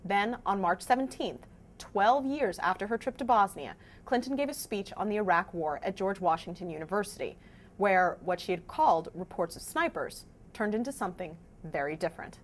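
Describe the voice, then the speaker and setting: A woman's voice: mid-range voice, animated, moderate pace, slight background noise. A woman hosting a scripted news explainer, presenting to viewers in an emphatic, wry way. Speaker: host of a fact-checking news vidcast.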